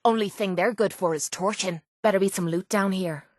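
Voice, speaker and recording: An actress plays a tough young Irishwoman who fights for hire as a video game companion. She is blunt, cocky and sarcastic, throwing out slangy remarks in a mid-pitched voice. The sound is close and dry. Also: fairly quick, no room sound, lightly acted.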